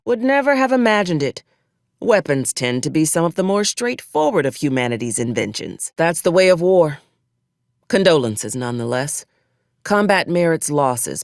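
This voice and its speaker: A ruthless, wry military officer with a very lively, swooping delivery, recorded close and dry. She is an ambitious video game character who mixes stiff antique phrasing with folksy frontier slang. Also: deep voice, no room sound. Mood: matter-of-fact, dry. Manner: formal archaic diction mixed with folksy frontier slang.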